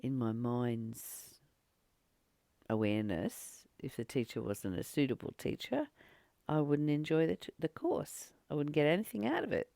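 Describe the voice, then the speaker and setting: A woman leading a spiritual book study, reading aloud and reflecting in a deliberate, emphatic manner with pauses and repeated phrases. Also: deep voice, expressive, vocal fry, mellow, dry recording. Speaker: spiritual teacher and channel host.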